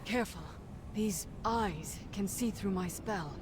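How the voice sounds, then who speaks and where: A woman's voice: medium pitch, slow, background noise, close to an everyday voice. A woman playing a resolute sorceress leading her allies in a fantasy game cutscene, earnest and authoritative. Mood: guarded, urgent.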